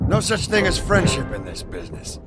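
High-pitched, sneering, volatile mafia don. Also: male voice, huge pitch swings, brisk, over music. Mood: contemptuous, taunting. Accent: American. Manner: taunting, contemptuous, profane. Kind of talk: cinematic crime game acting.

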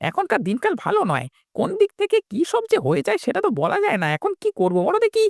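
A fretful, scolding cartoon villager, voiced by a woman, talks quickly in a high, creaky voice. The delivery swings around and sounds reproachful. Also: huge pitch swings, no echo, studio quality, exaggerated voice. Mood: reproachful, worried. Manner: reproachful, fretting. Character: scolding, worried villager in a folk tale. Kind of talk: cartoon dialogue acting.

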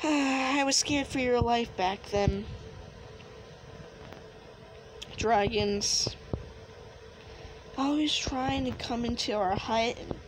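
A woman playing a gentle, reminiscing mother in an improvised game roleplay, telling her story haltingly. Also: on the high side, slight background noise, low-quality recording. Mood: tender. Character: gentle, reminiscing mother of a young dragon tamer.

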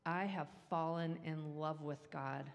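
A woman's voice: low voice, unhurried. A middle-aged woman leading a playful children's talk with a handheld mic on a church stage. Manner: animated, playful, talks to kids. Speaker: children's story leader. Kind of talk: lively children's talk with a game. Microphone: handheld microphone. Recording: reverberant church hall.

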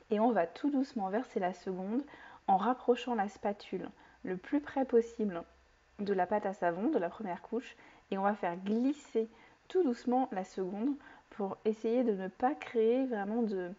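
Calm woman teaching soap making. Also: mid-range voice. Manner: clear, calm instructional tone with occasional fillers. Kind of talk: step-by-step tutorial explanation. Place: home kitchen, indoors. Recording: slightly dull lo-fi sound.

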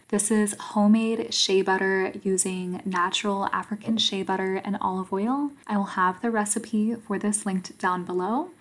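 A woman in her twenties calmly narrating her routine as a voice-over for her vlog, explaining each step. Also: mid-range voice. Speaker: vlogger.

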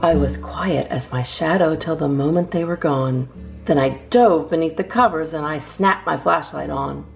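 A woman's voice: sits low, normal speed, creaky, a little mellow, soft background music. A woman hosting a storytime, reading children's poems aloud expressively with theatrical emphasis. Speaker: storytime host reading a picture book.

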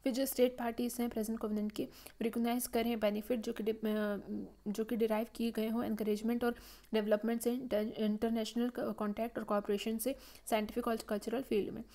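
A woman talks through lecture slides in a mellow, fairly high voice that stays flat and even. She reads the clauses haltingly, with many "uh" fillers and restarts, and mixes in English legal terms. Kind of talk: slide-based lecture explaining a legal text. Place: voice-over on slides.

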